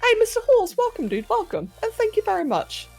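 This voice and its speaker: A woman's voice: extremely high pitch, huge pitch swings, brisk, gravelly, darkish tone, soft background music. A young woman hosting a casual livestream, reading chat, greeting viewers and laughing as she talks to her pets. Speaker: livestream host. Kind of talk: casual chat with live chat while sculpting.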